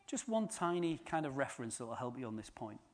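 A man's voice: mid-range voice, big pitch swings, a little fast. A man giving an informal sermon, chatty and joking with the congregation. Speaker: preacher.

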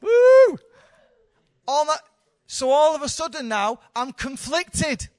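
A woman's voice: high voice, very animated, slow pace, a little mellow, no room sound, studio quality. A woman in her thirties, a church leader, giving an informal, candid sermon with self-deprecating humour. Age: 30s.

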